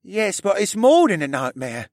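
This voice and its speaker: A man voicing a shy, troubled young boy in an audiobook, earnest and halting in his speech. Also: sits high, big pitch swings, no room sound, studio quality, pitched above the performer's own voice. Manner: earnest, halting, stammering over words. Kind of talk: audiobook character voice.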